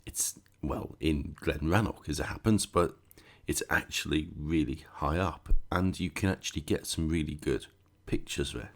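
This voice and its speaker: A man hosting a gaming channel, croaky and stuffed up with a cold, chatting casually through a game walkthrough with sarcastic asides. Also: very deep voice, normal speed, few pauses.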